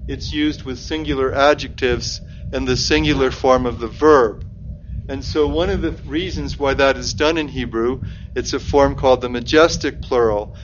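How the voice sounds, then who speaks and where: A man at mid pitch gives a measured bible talk, explaining slowly and now and then repeating a word as he works out a thought. The recording is slightly dull, with a faint hum of the room behind him. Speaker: lay preacher.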